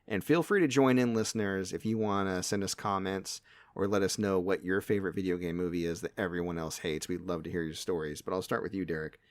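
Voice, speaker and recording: A man hosting a podcast, chatting casually in an animated, joking way with some swearing. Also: low-pitched, fast, no echo. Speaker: podcast host. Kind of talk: casual, bantering podcast chat.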